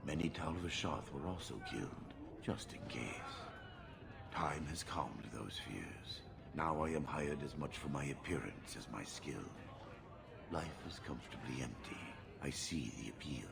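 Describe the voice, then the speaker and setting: An actor playing a stoic giant horned mercenary in a video game, gravelly, terse, measured and deadpan. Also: male voice, heavily acted. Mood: stoic, detached. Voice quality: very deep, gravelly. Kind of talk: video game character acting.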